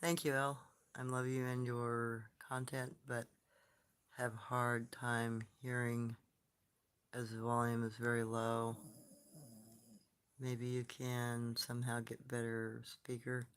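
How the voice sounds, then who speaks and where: Soft-spoken man with a smooth voice. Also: mid-range voice, slow. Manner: soft-spoken, relaxed and rambling. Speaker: YouTube storyteller answering live comments. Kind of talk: casual live-stream talk. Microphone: phone.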